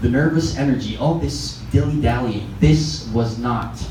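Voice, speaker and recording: A man's voice: mid-range voice, normal speed, a little mellow. Echoey, distant Filipino man reading fiction. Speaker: reader at a literary event. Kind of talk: scripted fiction narration. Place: echoey room at a live event. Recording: echoey, distant mic, clearly noisy live event.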